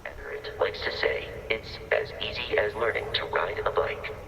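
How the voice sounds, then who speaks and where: An actor playing a dutiful robot ship's assistant in a video game, formal, precise and deadpan. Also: male voice, on the low side, normal speed, creaky, whispered, exaggerated voice, low-quality recording. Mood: dry, polite. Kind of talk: scripted game dialogue.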